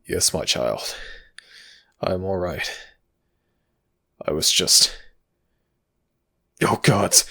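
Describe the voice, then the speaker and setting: A man playing an ancient, protective god in an intimate ASMR audio roleplay, speaking in a halting, intense way with a gravelly, breathy voice. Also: sits low, pushed and bright, exaggerated voice. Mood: shaken relief. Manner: halting, drawn-out, intense delivery.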